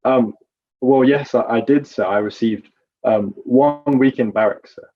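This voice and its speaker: A man's voice: mid-range voice, unhurried, highs cut off, natural voice. A man playing a nervous, evasive Roman guard corporal testifying in a courtroom drama, hesitant and deferential.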